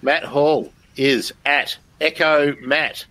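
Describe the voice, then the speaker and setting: A man's voice: fairly high pitch, slow, bright, forceful tone, dry recording. Aussie guy hosting a livestream, chatty and casual, pokes fun at himself. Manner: chatty, self-deprecating. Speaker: live stream host. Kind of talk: casual chat.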